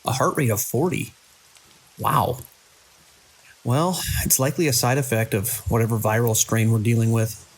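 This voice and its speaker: An actor playing a controlling male hospital director in an audio drama, brisk and dismissively reassuring as a crisis grows. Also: medium pitch, fairly even intonation, breathy edge, close to an everyday voice. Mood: businesslike, reassuring.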